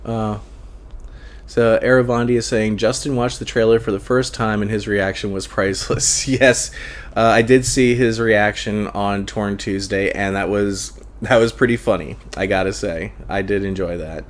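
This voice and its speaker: A man's voice: fairly low pitch, normal speed. Slightly congested man hosting a live chat. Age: adult, long-time convention goer. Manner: hesitant, many fillers, relaxed and chatty. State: a little congested. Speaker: host of a live book club show. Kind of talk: casual live-stream chat reading viewer comments.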